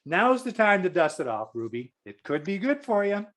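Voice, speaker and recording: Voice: medium pitch, very animated, normal speed, fairly bright tone. An actor playing a clueless, tactless politician boss in a comedic table read over a video call, stammering and exclaiming. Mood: flustered, scheming. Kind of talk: comedic script acting. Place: remote video call reading.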